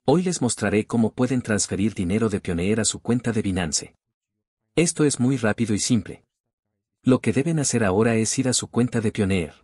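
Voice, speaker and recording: A man with a low, slightly husky voice talks viewers through a screen-recording tutorial. He speaks casually, repeats himself, and keeps calling the audience "guys". The recording is close and dry. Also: normal speed, a little mellow, no echo. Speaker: tutorial host.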